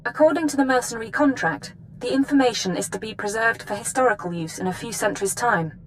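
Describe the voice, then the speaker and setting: An actress playing a brisk, authoritative female intelligence chief briefing an agent in a video game. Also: on the high side, a little hiss or hum, lightly acted, rough recording. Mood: grave briefing. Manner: crisp, authoritative.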